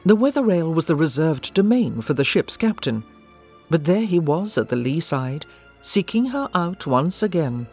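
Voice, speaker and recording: A woman's voice: deep voice, sing-song, normal speed, darkish tone, faint music behind. A woman reading scripted literary narration as the narrator of an audio drama.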